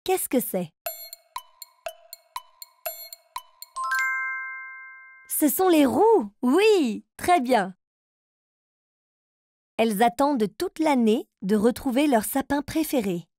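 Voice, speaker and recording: A woman's voice: high-pitched, animated, takes it slowly, warm tone, slight background noise, exaggerated voice. A woman voicing a kind, encouraging grown-up who leads a riddle game for little children in a cartoon, speaking in simple phrases. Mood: encouraging, playful.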